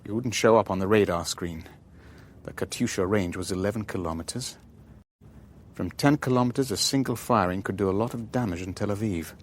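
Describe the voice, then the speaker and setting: A male actor plays a shrewd, weary shipping boss in a radio spy drama. His voice sits low and he speaks in measured, clipped phrases, deliberate in narration and dialogue alike, over noticeable background noise. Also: natural voice. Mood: grave, reflective. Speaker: narrator and lead character.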